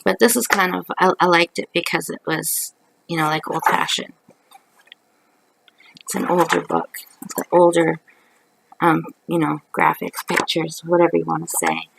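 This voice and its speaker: Hoarse, gravelly woman chatting on webcam. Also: on the low side, expressive, normal speed, pauses often, background noise. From Canada. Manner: rambling, chatty, many ums. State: hoarse from a cold. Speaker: craft vlogger. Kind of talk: casual haul video. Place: living room at night, on a couch. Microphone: webcam at close range.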